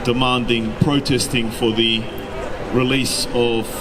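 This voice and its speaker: A middle-aged man from Chile delivering a deliberate, emphatic political speech at an outdoor rally. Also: mid-range voice, little pitch movement. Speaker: speaker at a rally, researcher. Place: outdoor public gathering in a city. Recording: outdoor rally with background noise.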